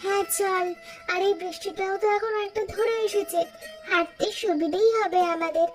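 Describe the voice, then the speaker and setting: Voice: very high-pitched, steady tone, moderate pace, soft background music. An actor voicing a chatty, teasing young village girl in a cartoon story. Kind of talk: Bengali cartoon story dialogue.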